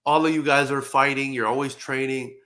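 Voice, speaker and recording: A man's voice: medium pitch, fairly even intonation, fairly quick, sharp tone. A man hosting an interview, enthusiastic and rambling, with many fillers as he asks questions. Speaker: interview host. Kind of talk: casual interview.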